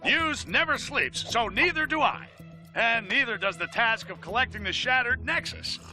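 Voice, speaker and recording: Excitable high-pitched cartoon newsman. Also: moderate pace, faint music behind, heavily acted, rough recording. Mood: sardonic, excited. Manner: bombastic, sarcastic, exclamatory. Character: blustery, excitable male newsman ranting on a live stream. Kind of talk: theatrical news commentary, cartoon game acting.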